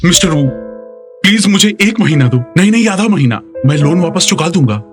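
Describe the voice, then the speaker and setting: Desperate, high-pitched pleading factory owner. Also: male voice, lively intonation, fairly quick, over music. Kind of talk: dubbed drama dialogue.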